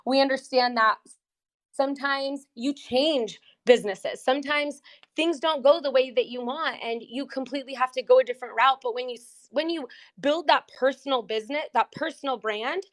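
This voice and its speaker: A woman's voice: high voice, moderate pace, a bit bright. A woman from the United States whose first language is Portuguese, telling her story as a podcast guest in an upbeat, chatty way. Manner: upbeat, chatty, laughs between points. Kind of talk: casual interview storytelling. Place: in Brazil, remote.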